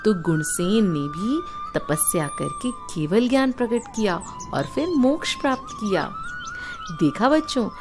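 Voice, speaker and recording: An Indian woman narrates a moral tale for children, her pitch swinging in a lively way. She takes her time and explains things simply as she goes. Music plays clearly behind her voice. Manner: explanatory, asks the listeners questions and glosses terms. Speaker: storyteller for children. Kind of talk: animated moral storytelling for children.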